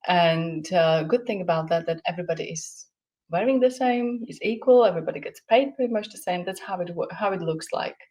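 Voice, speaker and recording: A woman's voice: on the low side, a little fast, darkish tone. A woman in her forties from Slovakia with a Slovak accent, speaking as a podcast guest in a thoughtful, searching way with many restarts. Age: 40s. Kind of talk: casual interview chat.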